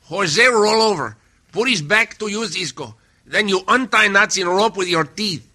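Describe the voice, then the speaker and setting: An actor playing a bumbling, loyal older Mexican sidekick in a radio western, speaking broken English with a Mexican accent. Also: male voice, sits high, lively intonation, measured pace, bright, forceful tone, full character voice. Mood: hopeful scheming. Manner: broken English, refers to himself by name. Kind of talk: old-time radio western acting.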